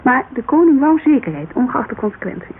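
Chatty witness, tinny old radio sound. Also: female voice, high voice, fairly quick. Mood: earnest, loyal. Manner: informal, digressive, animated storytelling. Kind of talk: naturalistic radio drama dialogue. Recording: muffled, hissy old radio recording.

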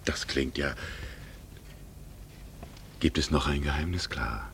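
An actor in a German radio drama playing a worldly, wounded, ironic gentleman with formal speech and a gravelly, husky voice. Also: rumbling low, slow, slight background noise, full character voice. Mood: hushed, probing. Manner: ironic, formal, slipping into hushed murmurs.

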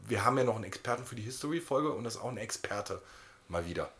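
A man's voice: mid-range voice, animated, rapid-fire, a bit bright. A man co-hosting a podcast in casual, rambling banter with a wry touch. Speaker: podcast host.

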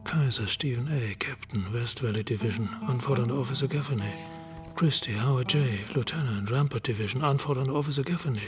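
An actor playing a focused, terse homicide detective with a clipped manner in a radio crime drama. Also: male voice, medium pitch, fairly quick, music in the background, lightly acted. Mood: intent, suspicious.

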